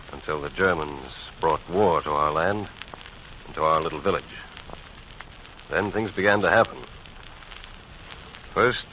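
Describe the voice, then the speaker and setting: A modest English village father in a 1940s radio monologue. The voice is low and earnest, with a bright, forceful edge. The old recording carries faint noise. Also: low-quality recording.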